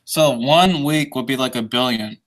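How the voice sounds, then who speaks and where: A man's voice: mid-range voice, normal speed, fairly bright tone, rough recording. A young man hosting a stream, chatting casually in a joking, laid-back way. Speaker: stream host. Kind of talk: casual chat over a call.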